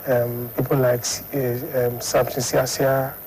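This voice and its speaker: A middle-aged man from Lagos with a Nigerian accent, answering interview questions in a halting way and repeating himself. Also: mid-range voice, level tone, a little mellow, a little hiss or hum, poor audio. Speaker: studio guest, sports psychologist and academic.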